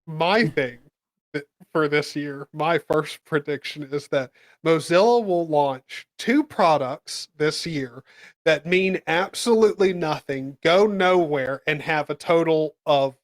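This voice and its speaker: A man's voice: on the high side, slow pace. A man co-hosting a casual podcast and making wry, dry jokes. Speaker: podcast co-host.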